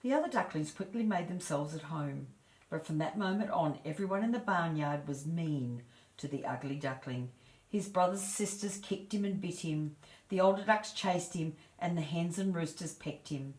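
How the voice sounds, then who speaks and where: Female storyteller, low register, measured and expressive children's read-aloud, roomy echo on the recording. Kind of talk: reading a children's fairy tale aloud. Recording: echoey room.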